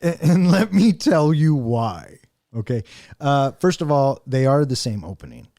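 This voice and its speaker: A man's voice: medium pitch, big pitch swings, a little slow, warm tone, no echo, clean studio sound. A man co-hosting a movie review podcast in casual chat, enthusiastic and joking, with playful swearing. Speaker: podcast co-host.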